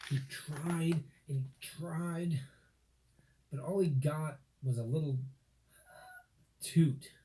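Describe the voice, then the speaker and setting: A man narrating a children's story from a script, with dramatic, deliberate phrasing and expressive emphasis. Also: on the high side, rough recording.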